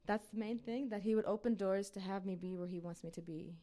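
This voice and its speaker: A woman's voice: medium pitch, fairly quick, dark tone. A woman from Uzbekistan, a missionary, talking earnestly and casually to a church group with many fillers. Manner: earnest, chatty, fillers like "you know".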